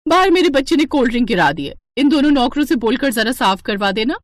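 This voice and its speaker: A woman's voice: sits high, sing-song, fast talker, no echo, studio quality. A woman voicing a snobbish, nosy rich friend in a moral cartoon story, with a haughty, exclamatory manner.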